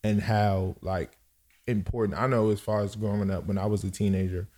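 A man's voice: fairly low pitch, steady tone, normal speed, mellow. A man in his early thirties from Cameroon, chatting casually as a podcast guest and often searching for his words. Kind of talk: casual chat.

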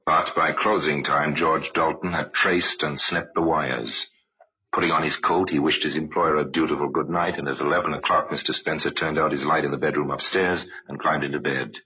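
Very deep, husky male crime narrator. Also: fairly even intonation, normal speed. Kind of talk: dramatic scripted crime narration, old-time radio. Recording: dull, lo-fi vintage radio recording.

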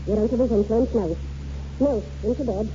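Actress as bossy old Scottish grandmother, stern and scolding, very dark tone, high voice, 1940s radio play. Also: normal speed, close to an everyday voice. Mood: bitter, commanding. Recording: muffled, noisy 1940s radio recording.

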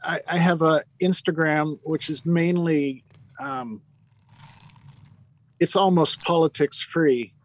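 A man's voice: on the high side, steady tone, takes it slowly, long pauses, poor audio. A man guest on a casual podcast, speaking in an easygoing, hesitant way.

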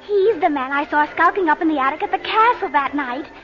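An anxious young niece in an old radio serial. Her voice is extremely high and swings in pitch as she insists. Music plays behind the dull, dated recording. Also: animated, fairly quick. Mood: anxious, insistent. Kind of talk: 1940s radio drama acting.

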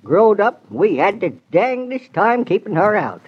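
An actor playing a talkative old farmer with a drawling rustic dialect, in a 1940s radio play. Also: male voice, high-pitched, big pitch swings, unhurried, husky, exaggerated voice. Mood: boastful. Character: folksy old New England farmer, boastful and talkative. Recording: muffled old radio broadcast.

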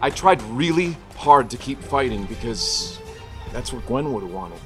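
A man's voice: medium pitch, lively intonation, measured pace, soft background music, natural voice. An actor playing a guilt-ridden but quippy young male superhero, earnest and wisecracking in a dramatic table read. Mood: regretful. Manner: earnest confessions, wisecracking banter in fights. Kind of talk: dramatic table read with first-person narration.

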